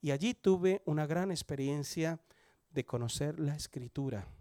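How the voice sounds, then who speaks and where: A man's voice: a little high, normal speed, mellow, no echo, studio quality. A man in his forties from Colombia, with a Colombian accent, giving his testimony at a church service in a conversational way, often asking the listeners questions. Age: 40s. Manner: conversational, asks the audience questions.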